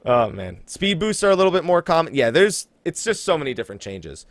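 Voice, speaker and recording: Animated male podcast host, higher-pitched voice. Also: a little fast, dry recording. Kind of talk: casual game podcast chat.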